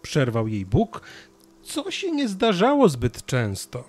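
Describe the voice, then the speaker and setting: A man narrating a scripted children's story as an expressive storyteller. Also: fairly high pitch, slow pace.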